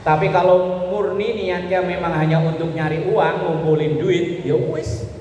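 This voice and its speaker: A man's voice: sits high, fairly even intonation, measured pace, a little mellow. Male preacher, Javanese Indonesian accent, colloquial sermon delivery, repetitive explaining, strong room reverb, faint background noise. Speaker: Islamic preacher. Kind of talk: informal sermon to a women's study group.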